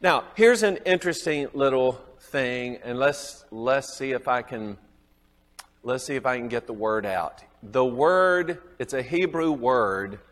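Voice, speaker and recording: A man's voice: mid-range voice, animated, unhurried, a bit bright, long reverb tail. A man with a Southern US accent teaching a Bible class, chuckling often and quizzing his students.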